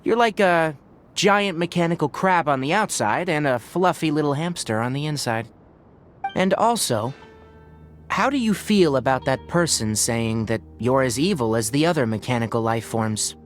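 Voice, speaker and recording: A man's voice: on the high side, animated, normal speed, faint music behind, close to an everyday voice. A man voicing a young forest healer in a video game, clinical, matter-of-fact and dryly kind, with thoughtful hums. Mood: wry, fond.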